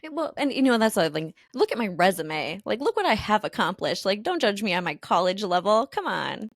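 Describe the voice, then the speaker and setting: A woman's voice: medium pitch, sing-song, brisk, no room sound, studio quality. A woman from the Midwest hosting a casual podcast, laughing often as she talks. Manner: giggly, laughs often between lines. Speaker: podcast host.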